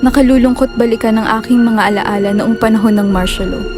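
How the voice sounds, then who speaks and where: A woman's voice: fairly high pitch, steady tone, fast talker, close to an everyday voice. A woman with a Filipino accent playing a sorrowful 65-year-old narrator looking back in a scripted radio drama. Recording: music bed under amateur radio drama.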